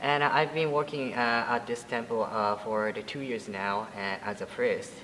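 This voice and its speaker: A man in his twenties from Japan, speaking English with a Japanese accent as a Buddhist priest being interviewed, halting and full of fillers and restarts. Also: medium pitch, fairly even intonation, a bit bright, long reverb tail, a little hiss or hum, poor audio. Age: 20s. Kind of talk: interview answers.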